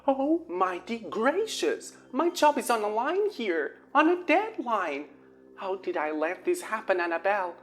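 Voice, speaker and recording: A woman voicing a dramatic, flustered teenage girl witch who talks in a high-strung, theatrical way. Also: sing-song, a little slow, soft background music.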